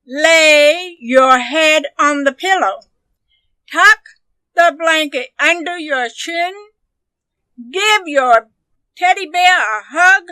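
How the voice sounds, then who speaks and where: Casting: a woman as a lively, doting storybook granny, high and forceful, easily astonished, singing out her orders. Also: dry recording, full character voice. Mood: exasperated, insistent. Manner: emphatic, sing-song instructions, shrieking exclamations. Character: energetic, doting grandmother, explosively astonished, fussing over bedtime. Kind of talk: children's storybook character voice.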